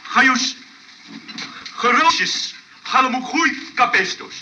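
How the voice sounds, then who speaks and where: A man's voice: extremely high pitch, expressive, slow, pushed and bright, exaggerated voice. An actor playing a sly, impatient young man who fakes being a foreigner in a comic Dutch radio play. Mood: mock-foreign babbling. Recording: noisy old radio play recording.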